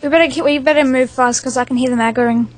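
A woman's voice: high voice, steady tone, quick pace. A woman talking casually with a friend over voice chat while gaming, chatty and hesitant, full of fillers. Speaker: gamer.

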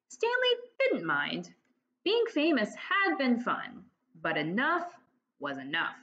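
A woman's voice: medium pitch, highs cut off. A woman in her forties, a teacher, reading a children's chapter book aloud at home into a laptop webcam, voicing the dialogue expressively. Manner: slow, expressive storytelling with lively voiced dialogue. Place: home room, indoors.

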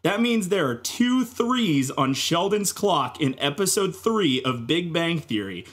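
Male podcast panelist, husky and very animated, delivering tongue-in-cheek theories with dramatic emphasis. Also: on the high side, unhurried. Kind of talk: comic mock-serious theorizing.

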